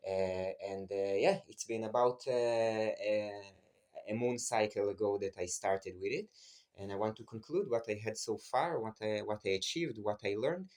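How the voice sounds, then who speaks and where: A man's voice: on the low side, moderate pace, a little mellow. A man in his twenties with a Hebrew accent, speaking English as a second language in a reflective personal vlog, hesitant and searching for words. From Israel. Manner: hesitant, many fillers and restarts, searching for words. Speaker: vlogger.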